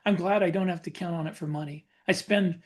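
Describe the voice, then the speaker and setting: A man's voice: high voice, steady tone, a little fast. Reflective man in casual conversation. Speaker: podcast co-host and writer. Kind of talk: casual chat.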